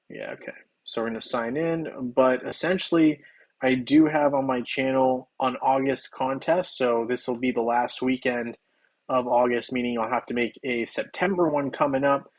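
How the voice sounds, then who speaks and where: Young guy streaming a game, bit hoarse, upbeat and chatty, says hi to everyone in chat. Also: medium pitch. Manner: upbeat, greets chatters by name. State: slightly hoarse. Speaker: game livestreamer. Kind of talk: casual livestream chat.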